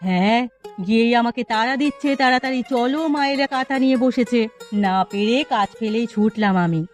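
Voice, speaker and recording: A woman's voice: fairly high pitch, brisk, soft background music, close to an everyday voice. A woman voicing a busy village auntie, homely and grumbling, in a cartoon story.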